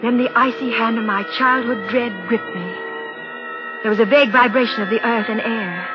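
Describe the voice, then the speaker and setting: A woman's voice: fairly high pitch, normal speed, music in the background, natural voice. An actress playing a rational, insistent woman in an old radio drama, articulate, persuasive and dramatic in her delivery. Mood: tense narration. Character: rational, insistent woman reasoning with a frightened man. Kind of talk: 1940s radio drama acting and narration. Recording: dull, noisy old radio broadcast.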